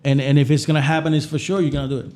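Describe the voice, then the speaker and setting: A man's voice: fairly high pitch, level tone, very fast, darkish tone. A man from Puerto Rico talking casually as a podcast guest, telling personal stories in an informal way and often repeating his phrases. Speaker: guest chef.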